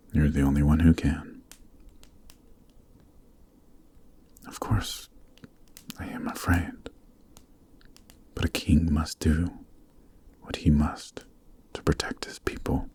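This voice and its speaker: A man playing a weary, noble Demon King in a fantasy ASMR roleplay, speaking softly and solemnly with a breathy voice. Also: exaggerated voice. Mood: resigned, pleading. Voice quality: very deep, soft, breathy, half-whispered. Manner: slow, solemn, earnest, formal phrasing. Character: weary, noble adult male Demon King, sorrowful and gentle. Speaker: voice actor.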